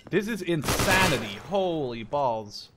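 Higher-voiced young man over noisy game gunfire. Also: measured pace. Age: 20s. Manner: excitable, profane, rambling. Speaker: video game streamer. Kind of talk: casual gameplay commentary. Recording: gunfire and game noise behind voice. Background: video game gunfire.

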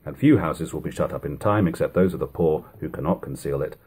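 A man reads an old literary narrative aloud in a measured, formal, dramatic way at home. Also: extremely low pitch, a little mellow, husky. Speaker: reader. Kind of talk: audiobook-style reading of an old literary narrative. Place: living room at home. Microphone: webcam or device camera at about arm's length.

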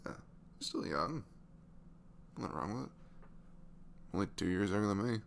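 A man playing a sweet, tired single dad in his twenties in an intimate ASMR roleplay, talking haltingly. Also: fairly low pitch, expressive, creaky, a little hiss or hum. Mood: reassuring. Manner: hesitant, halting, softly chuckling.

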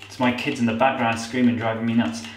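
A man's voice: medium pitch, level tone, quick pace, slight background noise. A nasal-voiced man in his thirties talks loosely and jokes at his own expense as he demonstrates something in a small tiled bathroom. His voice bounces off the hard walls, and a tap runs behind him. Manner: chatty, self-mocking, rambling asides. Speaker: vlogger. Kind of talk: casual how-to demo. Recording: echoey bathroom. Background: running tap water.

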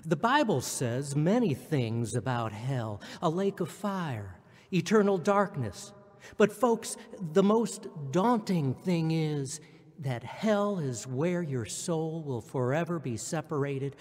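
An actor playing a fervent, solemn revival preacher in a radio drama, measured and exhorting. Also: male voice, fairly high pitch, a little mellow, slightly rough, full character voice. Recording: long hall reverb.

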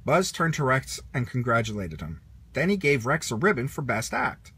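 A man reading a children's story aloud in an animated manner with a husky voice. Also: mid-range voice, moderate pace. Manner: animated, puts on character lines. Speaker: storybook reader.

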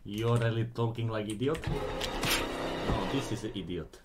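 A man's voice: fairly low pitch, moderate pace, loud music behind the voice. Slovak-accented man streaming in a headset. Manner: broken, playful English, joking with chat. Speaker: game streamer. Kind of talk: casual live-stream chat. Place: indoor home room.

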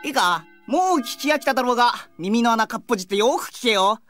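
A woman's voice: fairly high pitch, animated, fast talker, soft background music, close to an everyday voice. A woman playing a brash, teasing schoolboy with a clownish, cheeky manner in an audio drama. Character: brash, teasing teenage schoolboy, joking older brother. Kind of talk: Japanese audio drama acting.

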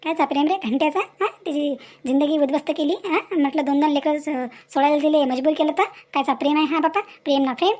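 A scolding, motherly village woman from a cartoon moral tale , acted out by a woman. Her voice sits extremely high and moves quickly. She speaks in rural Varhadi Marathi, driving her reproaches home with emphatic rhetorical questions. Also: darkish tone, lightly acted. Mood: indignant scolding. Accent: rural Maharashtra, Varhadi Marathi. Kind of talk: dramatized dialogue for a cartoon moral story.

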